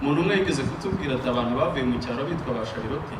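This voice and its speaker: A man's voice: mid-range voice, animated, rapid-fire, far from the mic. A Rwandan man preaching a sermon with emphatic call-and-response, paced for an interpreter. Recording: echoey room, picked up from afar, noisy.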